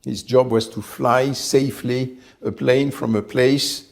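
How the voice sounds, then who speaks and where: A man, telling his life story in an interview, measured and reflective, often pausing to find his words. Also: mid-range voice, fairly even intonation, gravelly. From France. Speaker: company head, interview guest.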